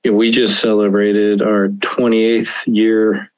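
A man's voice: lowish voice, little pitch movement, slow, darkish tone, clear, resonant tone, no room sound, poor audio. A man in his fifties, an author being interviewed, talking earnestly and chuckling often. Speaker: author guest. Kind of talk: conversational interview.

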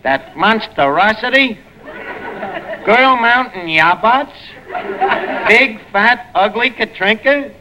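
A man's voice: sits high, lively intonation, takes it slowly, pauses often, crowd noise. A man playing a brash, slangy New Yorker who mangles fancy words in a 1940s radio comedy. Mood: wisecracking. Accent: New York. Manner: wisecracking, slangy, malapropisms. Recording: noisy old radio recording with studio audience.

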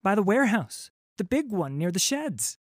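A man's voice: high voice, sing-song, dry recording, pitched above the performer's own voice. A man voicing a scared young street urchin who stumbles over his words. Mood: frightened. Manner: halting, faltering. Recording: dry studio recording.

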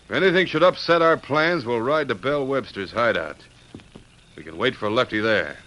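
Casting: bossy outlaw chief, hard bright voice, lively and commanding, old radio western. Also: male voice, mid-range voice, normal speed. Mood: bossy, menacing. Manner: barking, commanding. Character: tough, bossy male outlaw gang leader.

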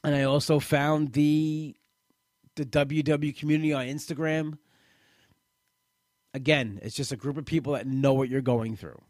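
A man in his late thirties sharing his weight-loss journey in a halting, personal way, often restarting and repeating words. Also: fairly high pitch, steady tone, a little mellow, no echo, studio quality. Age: late 30s. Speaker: weight-loss program member. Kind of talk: personal testimonial talk.

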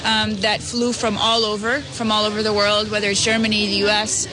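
A woman's voice: mid-range voice, little pitch movement, a little fast, noisy background. A young woman born in Ukraine, a volunteer, giving short, heartfelt answers that trail off with "yeah," outdoors at a busy crossing. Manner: short, warm, emotional answers ending in "yeah". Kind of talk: street interview answers. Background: crowd.

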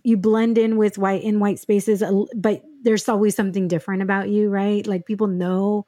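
A woman's voice: mid-range voice, flat delivery, moderate pace, dark tone, no room sound, studio quality. Chatty woman podcast host. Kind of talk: casual podcast conversation.

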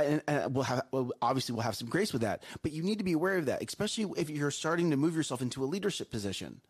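A man co-hosting a morning show, a coach with a loud voice, giving emphatic advice and often checking in with "okay?" and "right?". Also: mid-range voice. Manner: emphatic, repeats himself, asks "okay?" and "right?". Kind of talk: casual chat, preachy life advice.

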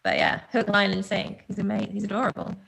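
A woman's voice: mid-range voice, huge pitch swings, fast, gravelly, a bit bright. A woman guest chatting on a podcast, giggly and chatty, often drifting off mid-thought. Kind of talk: casual chat.

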